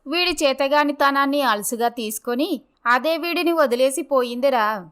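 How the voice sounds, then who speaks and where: A woman's voice: high voice, normal speed, close to an everyday voice. A woman playing a blunt, scornful older village mother of grown sons in a dramatised story.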